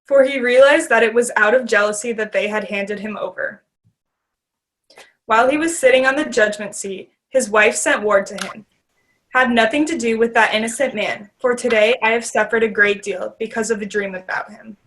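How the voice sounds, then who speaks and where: A fairly high female voice narrates scripture for a readers theater. She reads formally, at a measured, scripted gait. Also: fairly bright tone, rough recording.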